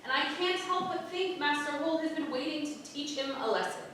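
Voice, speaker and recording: An actress playing a blunt, aggrieved working wife of an early modern town in a staged play reading. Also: female voice, sits high, fairly quick, fairly bright tone. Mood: worried. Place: playhouse stage. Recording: echoey theatre from far off, faint noise.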